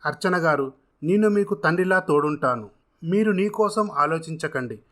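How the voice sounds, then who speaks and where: A man's voice: fairly high pitch, animated, moderate pace, a bit bright. A man voicing an earnest, generous self-made contractor who speaks formally and encouragingly in a dramatised story.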